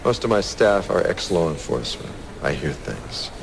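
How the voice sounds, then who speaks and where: An actor playing a smug, condescending security chief in a crime audio drama, brusque and self-important with veiled menace. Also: male voice, sits low, sing-song, moderate pace, creaky, slight background noise, heavily acted.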